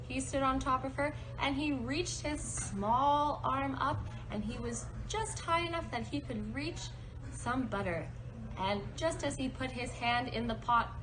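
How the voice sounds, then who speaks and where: Woman, high voice, live children's storyteller, simple descriptive phrasing, clear background noise. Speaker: storyteller for a puppet show. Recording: noisy live recording.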